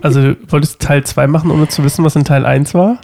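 A man's voice: a little high, fairly even intonation, fast talker, vocal fry, darkish tone. A young man with a stuffy cold, co-hosting a casual podcast from his living room, playful and rambling. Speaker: podcast co-host. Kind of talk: casual couple podcast chat.

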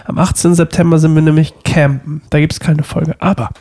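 Young man, stuffy cold, casual podcast co-host, gravelly, slightly high. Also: flat delivery, brisk, warm tone. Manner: playful, rambling, teasing. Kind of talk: casual couple podcast chat. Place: living room at home.

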